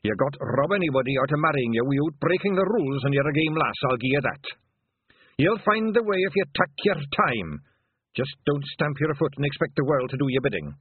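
A man's voice: fairly high pitch, lively intonation, a little fast, slightly rough, no room sound, pitched above the performer's own voice. A man voicing a proud, testy, ancient tiny pixie piper with a Scottish accent and a scolding manner in a storybook. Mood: gruffly encouraging. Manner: scolding, dialect-heavy. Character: proud, testy, tiny ninety-six-year-old male pixie piper. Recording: narrow phone-like lo-fi recording.

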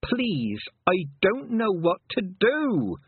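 Man voicing an earnest young girl, pitched up, husky. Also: wildly swooping pitch, moderate pace, dry recording, full character voice. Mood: pleading. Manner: earnest, plaintive. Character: earnest, unsure young girl, a would-be witch. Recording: narrow phone-like lo-fi recording.

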